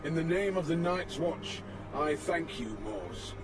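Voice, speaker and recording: Stern old male commander, fantasy game, slow formal oratory, fairly high-set voice, music behind. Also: animated. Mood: grave. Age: older. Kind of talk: dramatic fantasy game acting.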